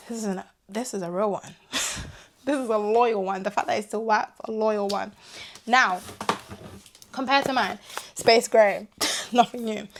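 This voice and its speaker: A young woman vlogging about her gadgets in a chatty, animated way, her voice going hoarse. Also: medium pitch, a little hiss or hum. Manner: chatty and animated, drifting into asides. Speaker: vlogger reviewing her tech purchases. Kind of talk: casual chat to camera.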